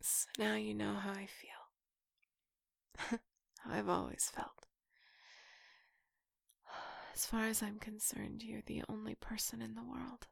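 A woman performing an intimate audio roleplay in a soft, breathy whisper, close to the microphone. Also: medium pitch, level tone, slow, pauses often, no echo.

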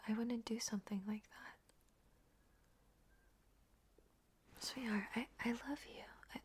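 A woman playing a coaxing, evasive partner in an intimate audio roleplay, speaking in a breathy whisper. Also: mid-range voice, a little mellow, lightly acted. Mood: pleading, hurt. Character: coaxing, evasive female partner soothing someone at night.

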